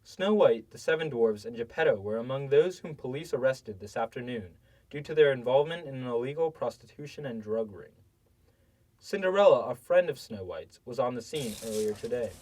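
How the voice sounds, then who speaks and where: A man acts out an earnest TV news field reporter in a comedy sketch. The delivery is dramatic and emphatic, with big swings in pitch, picked up at some distance from the mic on location. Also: lively intonation, a little fast, a little mellow, lightly acted. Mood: dramatic reporting.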